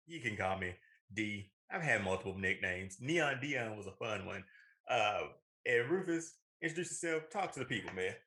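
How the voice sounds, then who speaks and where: A man's voice: mid-range voice, bright, forceful tone. A man in his thirties co-hosting a casual show, talking informally and often circling back to repeat himself. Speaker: co-host of a movie and video game show. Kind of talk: casual conversational banter.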